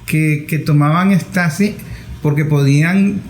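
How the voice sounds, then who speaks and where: A man with a somewhat high voice conducts an interview in a conversational way. He puts his thoughts together in short, broken phrases. The sound is roomy, with clear echo. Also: takes it slowly. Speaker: interviewer, host. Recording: roomy, echoing room sound.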